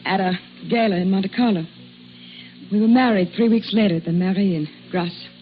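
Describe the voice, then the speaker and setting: An actress playing a guarded, bewildered, worldly woman accused of murder in a radio drama, speaking in a hesitant, refined and measured way. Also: mid-range voice, faint music behind, lightly acted. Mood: wistful, wry. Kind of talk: 1950s radio drama acting. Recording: muffled, noisy old radio recording.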